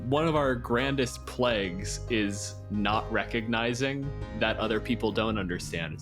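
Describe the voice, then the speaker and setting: A man discussing a tabletop game casually, in an articulate, analytical way. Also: mid-range voice. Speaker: tabletop game participant and academic. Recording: music playing behind the voice.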